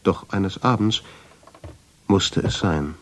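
A guilt-ridden man recounting his own tale for a radio drama. His voice sits very low. He speaks in measured, literary sentences with a brooding, wry undertone. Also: background noise. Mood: uneasy, tense. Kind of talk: scripted first-person radio drama narration.

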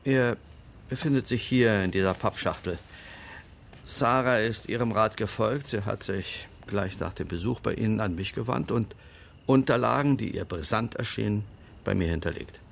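Old male lawyer for radio crime play: gravelly, lowish, formal, guarded, drily stern. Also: slight background noise. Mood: measured, guarded. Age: older. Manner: measured, formal, pointed.

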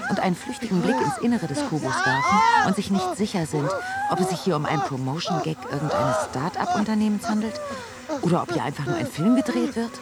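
An actress playing a film-crew woman who narrates and questions scenes in a radio play. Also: medium pitch.

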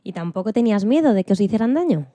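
Very mellow woman interviewer. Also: mid-range voice, animated, fast, no echo. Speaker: radio interviewer and host. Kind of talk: scripted interview questions.

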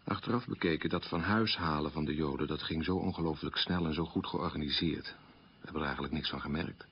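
Casting: middle-aged man, low voice, earnest and self-reproaching, confessional radio drama, muffled old recording. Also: a little fast, a little hiss or hum. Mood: sober recollection. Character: guilt-ridden middle-aged son of a wartime collaborator.

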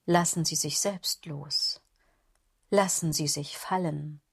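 A husky, low-voiced woman comments mockingly in a literary radio play. Her phrasing is deliberate and pointed, goading the listener, and the sound is dry and close. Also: steady tone, dry recording, heavily acted. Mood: coaxing, ominous. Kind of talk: literary radio drama monologue.

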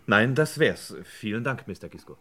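A man's voice: on the low side, animated, normal speed, loud music behind the voice, natural voice. A man playing a polite, earnest young man in a German radio crime play, courteous and matter-of-fact.

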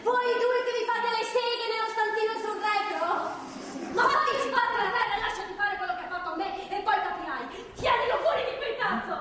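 A woman's voice: very high-pitched, brisk, a bit bright. An actress playing a young pregnant woman held captive, defiant and bitter, with a blunt, sardonic stage delivery. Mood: furious, defiant. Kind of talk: live stage drama acting. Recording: distant, echoey theatre hall, faint noise.

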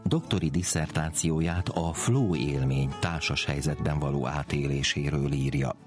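A man reading scripted introductions of guests as a radio announcer. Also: rumbling low. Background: guitar music.

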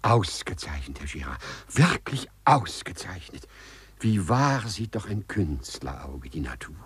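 An actor playing a courtly, lovestruck gentleman burglar in a 1950s German radio play, speaking with florid, formal politeness and a husky voice. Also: low voice, sing-song, measured pace, full character voice. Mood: dreamy, enamoured. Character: courtly, infatuated male gentleman burglar who walks through walls.

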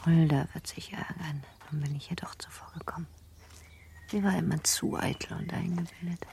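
A woman's voice: low voice, fairly even intonation, moderate pace, vocal fry, dark tone, in a whisper. An actress playing a candid, self-assured upper-class girl of seventeen in a radio drama. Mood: smug. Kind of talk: German radio drama acting.

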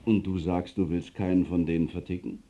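An actor playing a slick, pushy football club boss with a businesslike, assertive manner in a radio drama. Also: male voice, low voice, little pitch movement, brisk, muffled recording, faint music behind, natural voice.

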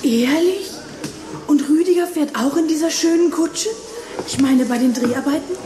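A woman's voice: sits high, normal speed, echoey room, over music, close to an everyday voice. An actress playing an eager, pushy schoolgirl in a German children's radio play, giggly and insistent. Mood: eager, hopeful. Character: eager, pushy schoolgirl keen on vampire films.

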